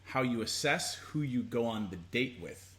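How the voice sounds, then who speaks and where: A man's voice: mid-range voice, animated, moderate pace, a bit bright. A man co-hosting a casual advice chat, playful and jokey, thinking aloud as he talks. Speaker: co-host.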